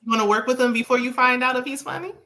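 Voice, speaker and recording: Woman hosting a livestream on a video call, bright sharp voice, chatty and teasing, faint noise in the background. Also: high voice, fairly even intonation, racing. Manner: chatty, playful, laughs often, casual slang. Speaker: host of a live-stream show. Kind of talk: casual live-stream interview. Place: indoor living room.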